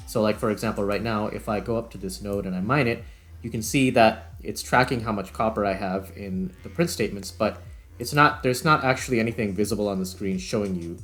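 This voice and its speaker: A man hosting a video, talking casually and thinking aloud as he explains game development. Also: on the low side. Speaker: video creator and host.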